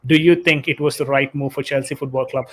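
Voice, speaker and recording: A man's voice: mid-range voice, steady tone, fast talker. A man hosting a casual live football stream, reading out chat messages with many fillers. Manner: reads chat messages aloud, hesitant with fillers.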